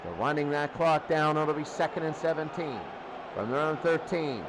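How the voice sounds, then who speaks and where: A man calling live American football play by play in an excitable, exclaiming manner. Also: a little high, moderate pace. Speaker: play-by-play announcer. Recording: clearly noisy recording.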